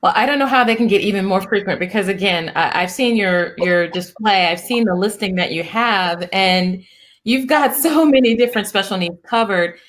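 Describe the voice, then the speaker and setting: A middle-aged woman hosting an interview, talking in a soft, soothing way with many restarts. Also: medium pitch, normal speed. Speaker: interview host, psychiatrist. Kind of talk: casual interview chat.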